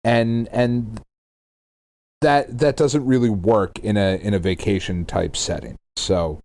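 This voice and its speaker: A man's voice: fairly low pitch, slow pace, a little mellow, dry recording. A middle-aged man co-hosting a podcast, talking casually about games. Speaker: podcast co-host. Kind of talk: casual gaming podcast chat.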